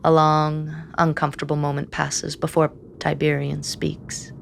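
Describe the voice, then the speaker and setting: An actress playing an anxious, devoted sister who narrates a dark fantasy audio drama in a measured, intense way. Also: female voice, low-pitched, monotone, gravelly, a little hiss or hum, heavily acted. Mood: watchful, wry. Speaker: first-person narrator.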